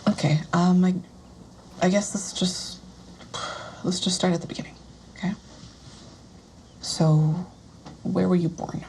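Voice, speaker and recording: A woman's voice: fairly low pitch, steady tone, measured pace, pauses often, warm tone, slight background noise. An actress playing a hesitant, regretful woman interviewing a man about his life.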